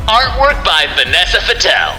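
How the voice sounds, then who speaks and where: A woman's voice: lowish voice, wildly swooping pitch, moderate pace, pushed and bright, soft background music. A woman announcing scripted podcast credits with long reverb on the voice.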